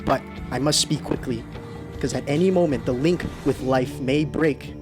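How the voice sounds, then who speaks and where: A man acting a haunted man in his thirties who recounts his road trip in a radio drama, urgent and halting. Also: medium pitch, over music, close to an everyday voice. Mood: haunted, desperate.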